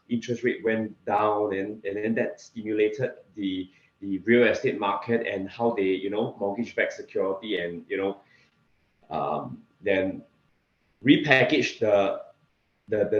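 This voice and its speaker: A man from Singapore with a Singaporean accent, talking casually as an interview guest in a halting way, often repeating words. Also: on the low side. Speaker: interview guest, real estate investor. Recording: echoey room, slightly dull sound.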